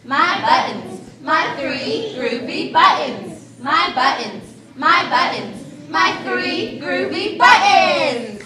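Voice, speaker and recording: A woman's voice: sits high, big pitch swings, slow, hardly any pauses, crowd noise, heavily acted. A woman voicing a cheerful, easygoing male cat puppet in a live library puppet show, sing-song and upbeat. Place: large echoey library room. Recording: echoey room, children in audience.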